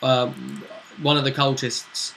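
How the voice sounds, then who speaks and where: A man chatting casually as game master, recapping a tabletop role-play session in a halting, storytelling way with pauses. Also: mid-range voice, big pitch swings, a bit bright, a little hiss or hum, low-quality recording.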